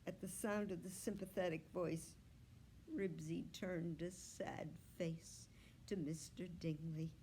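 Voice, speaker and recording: A woman reading a children's storybook aloud like a librarian, with measured, expressive phrasing that sometimes drops to a hush. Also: lowish voice, rough recording. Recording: clear background noise.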